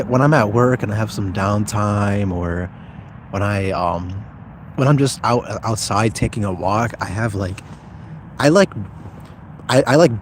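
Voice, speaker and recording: Clear outdoor noise, like traffic, sits behind a young man with a fairly low voice. He chats casually to livestream viewers in a loose, slangy way, often halting to think out loud. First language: Somali speaker, as second language. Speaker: YouTuber live-streaming. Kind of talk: casual livestream chat answering viewer comments.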